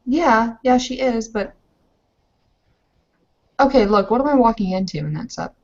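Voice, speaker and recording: A woman's voice: a little high, expressive, a little slow, a little mellow, muffled recording. A woman chatting casually and narrating as a player in a tabletop roleplaying game.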